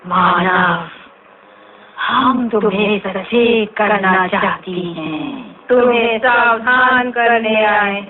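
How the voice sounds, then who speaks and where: Slow, menacing immortal gas spirit. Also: female voice, medium pitch. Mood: ominous, boastful. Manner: slow, declamatory, ominous. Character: menacing, immortal female three-faced toxic gas spirit. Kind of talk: theatrical radio drama acting. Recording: noisy, tinny phone-like radio recording.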